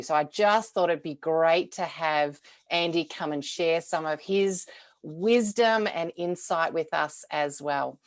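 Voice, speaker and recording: A woman hosting a conversational interview over a video call, friendly and enthusiastic. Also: lowish voice. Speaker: host.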